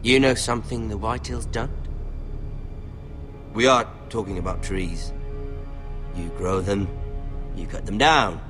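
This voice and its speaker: A man acts out a sadistic, playful fantasy lord in a lowish voice. He speaks slowly and deliberately, mocking with false courtesy. Music plays softly underneath. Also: lightly acted. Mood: smug, threatening. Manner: taunting, deliberate, mock-polite. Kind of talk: dramatic fantasy game acting.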